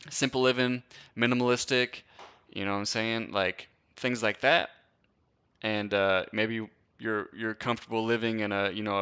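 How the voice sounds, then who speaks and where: A man's voice: mid-range voice, sharp tone, clear, resonant tone. A young man from Wisconsin hosting a casual live-stream Q&A, chatting in a laid-back, slangy way and laughing often. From Wisconsin, USA. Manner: laid-back slangy chat, lots of "dude" and "bro", frequent laughs. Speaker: host.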